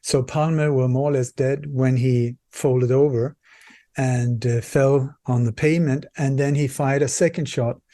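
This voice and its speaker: Mellow-voiced man from Sweden telling a story. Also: mid-range voice, steady tone, measured pace, dry recording. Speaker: interview guest, researcher and author. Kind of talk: conversational storytelling in an interview.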